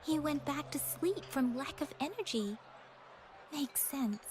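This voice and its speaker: A woman playing a thoughtful, composed young female scholar in a video game, explaining things in a measured way. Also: sits high, expressive, a little mellow. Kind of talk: video game dialogue acting.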